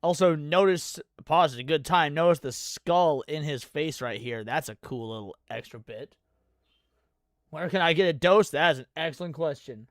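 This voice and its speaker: Higher-pitched man, dry close sound, reacting. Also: moderate pace, dry recording. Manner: informal, swearing. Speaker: livestream host. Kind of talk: casual reaction commentary.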